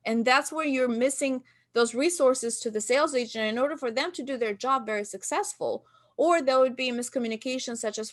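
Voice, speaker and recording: A woman from Albania, in her thirties, telling stories in a casual podcast interview and acting out quotes from herself and others. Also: on the high side. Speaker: guest, marketing business founder.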